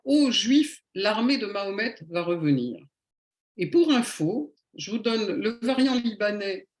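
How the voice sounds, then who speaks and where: A woman giving a prepared public lecture in a didactic, rhetorical manner, with emphatic phrasing and deliberate pauses. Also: mid-range voice. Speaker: lecturer, conference speaker. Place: indoor room at a public talk. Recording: echoey room.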